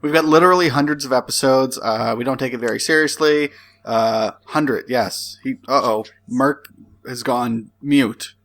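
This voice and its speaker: A man's voice: mid-range voice, normal speed. A man co-hosting a comedy podcast, making dry absurd jokes. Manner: deadpan jokey quips. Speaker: podcast co-host. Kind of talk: joking podcast banter.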